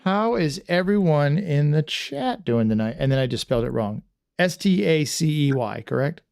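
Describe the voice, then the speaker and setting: Gravelly man hosting a rambling livestream. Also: fairly high pitch, animated, moderate pace, darkish tone. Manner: rambling, self-deprecating, many restarts. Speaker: podcast host. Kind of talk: casual livestream chat.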